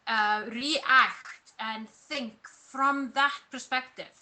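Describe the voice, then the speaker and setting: A woman from Iceland, whose first language is Icelandic, answering interview questions as a personal branding coach, pausing and restarting as she thinks. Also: a little high, fairly even intonation, sharp tone, a little hiss or hum. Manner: reflective, pauses and restarts mid-thought.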